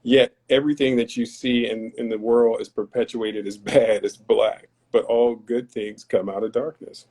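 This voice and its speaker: A man's voice: mid-range voice, fairly even intonation, normal speed, few pauses, a little mellow, slightly rough. A man from North Carolina, a podcast guest talking in an earnest, philosophical, somewhat preachy way. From Tarboro, North Carolina. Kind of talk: reflective conversational talk.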